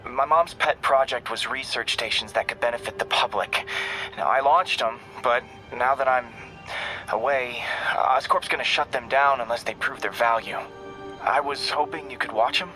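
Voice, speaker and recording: An actor playing an earnest young man asking his friend for a favor, a little hushed and halting. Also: medium pitch, faint music behind, natural voice, low-quality recording. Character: young man, worried friend leaving a message.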